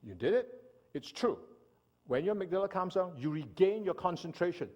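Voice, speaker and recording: A man from Singapore delivers an animated church seminar talk, often turning to his listeners with "right?". His voice sits a little high and is husky, and it swings up and down freely. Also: normal speed, lo-fi sound. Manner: animated lecturing, asks audience "right?". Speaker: speaker.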